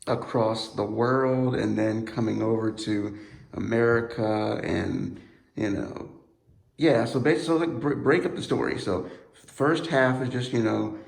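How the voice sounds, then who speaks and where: A man's voice: fairly low pitch, gravelly, darkish tone, reverberant. A man hosting a podcast interview, asking loose, hesitant questions full of fillers. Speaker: podcast host. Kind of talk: casual interview.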